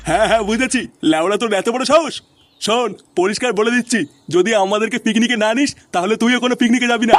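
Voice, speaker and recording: A domineering cartoon dad played by a man scolds. His voice is high for a man and quick, crude and pushy, over faint noise. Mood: indignant, bossy. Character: bossy, foul-mouthed, scheming father of a grown son. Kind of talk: comic cartoon dialogue.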